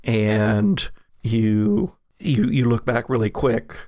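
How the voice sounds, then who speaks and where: A man narrating an improvised horror tabletop game as game master, halting and pausing mid-sentence to build suspense. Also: mid-range voice, animated, a little mellow, no room sound, clean studio sound.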